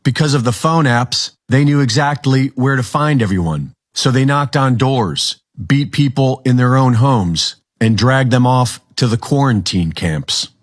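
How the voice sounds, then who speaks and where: A man narrating a scripted news report in a measured, serious way. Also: medium pitch.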